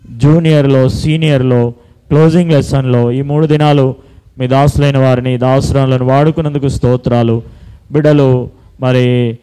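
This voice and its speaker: Mellow man leading children's Bible school. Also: medium pitch, smooth, rough recording. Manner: instructing, repeats simple commands and questions. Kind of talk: preaching to children and leading prayer.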